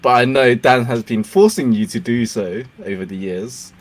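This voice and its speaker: A man's voice: mid-range voice, moderate pace. A man whose first language is Cantonese, hosting a casual interview, encouraging and laughing often. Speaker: podcast host.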